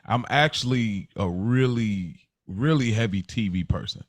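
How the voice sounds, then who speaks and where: A man speaking on a podcast in relaxed, informal conversation. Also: fairly low pitch, unhurried, no echo. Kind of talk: casual chat.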